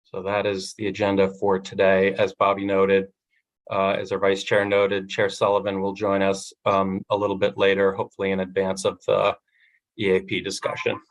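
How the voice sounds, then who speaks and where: A man's voice: low voice, normal speed, poor audio. A man with a cold, sounding nasal, briefing a commission on the agenda with many ums and uhs. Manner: flat delivery with frequent ums and uhs. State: has a cold. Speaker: agency director presenting the agenda. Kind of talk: formal meeting briefing.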